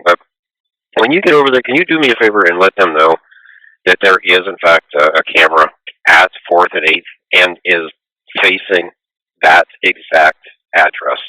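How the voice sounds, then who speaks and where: A man working as a police dispatcher, talking over the radio in a halting, casual way as he thinks aloud. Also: low-pitched, pushed and bright, no room sound, rough recording. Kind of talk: informal police radio traffic.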